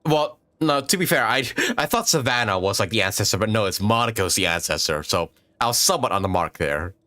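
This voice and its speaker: A man's voice: lowish voice, lively intonation, fairly quick, pushed and bright. A man live-streaming a game with casual, unscripted, sweary commentary, talking to himself as he plays. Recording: game music behind.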